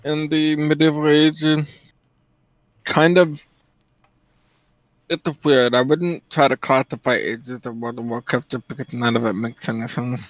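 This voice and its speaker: A man's voice: mid-range voice, steady tone, measured pace, pauses often, no room sound. A man giving casual reaction chat as a co-commentator. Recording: dull lo-fi voice recording.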